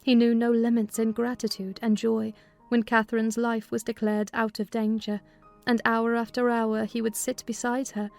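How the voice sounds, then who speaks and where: A woman's voice: on the high side, darkish tone. A woman reading scripted audiobook narration of a classic novel.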